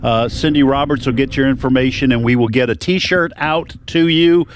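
A man in a mid-range voice co-hosts a call-in radio show. He talks in an easy, friendly way, steers the caller and tosses in trivia jokes. The talk is plain and unhurried. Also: mid-range voice, slight background noise. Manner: friendly, moves the call along. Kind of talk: casual radio banter.

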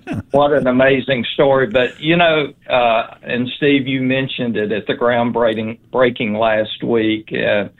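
A man speaking in an earnest, deliberate, conversational way over a phone-like line. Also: medium pitch, steady tone. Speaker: interview guest. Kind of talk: measured conversational talk. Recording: thin phone-line sound.

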